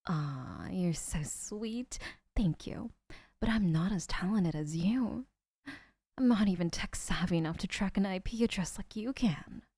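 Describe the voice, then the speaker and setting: A young woman voicing an adoring, obsessive female kidnapper who gushes softly and often whispers. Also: medium pitch, expressive, measured pace, breathy edge, no room sound, clean studio sound. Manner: soft, gushing, often whispering. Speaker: voice actor. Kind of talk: audio roleplay.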